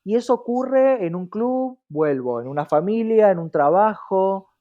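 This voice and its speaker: A woman's voice: mid-range voice, animated, unhurried, phone-quality sound. A woman with an Argentine accent explaining things conversationally as an interview guest, reflective, often checking in with rhetorical questions.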